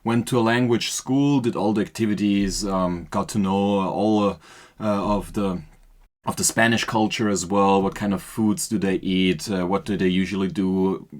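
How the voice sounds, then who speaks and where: Young Austrian man, low voice. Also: normal speed. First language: German. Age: 20s. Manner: relaxed and rambling, many fillers and restarts. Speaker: guest. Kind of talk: casual podcast interview.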